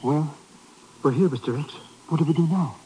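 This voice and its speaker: An actor playing a nervous, cowardly comic sidekick in a 1950s radio drama, whiny, fretful and colloquial. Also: male voice, a little high, moderate pace, warm tone, close to an everyday voice. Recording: noisy vintage 1950s radio recording.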